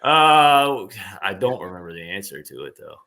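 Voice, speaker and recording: A man's voice: low voice, sing-song, normal speed, bright, forceful tone. A man chatting casually as a guest on a livestream. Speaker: guest, voice actor.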